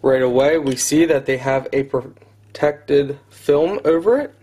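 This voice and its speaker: A man's voice: medium pitch, a little slow, dark tone. A man in his thirties doing a casual product unboxing review, talking as a reviewer at home. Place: room at home.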